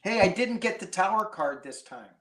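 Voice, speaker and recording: A man's voice: high voice, animated, normal speed. A man appearing as a guest tarot reader, chatting casually in a playful way with exclamations and puns. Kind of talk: casual chat.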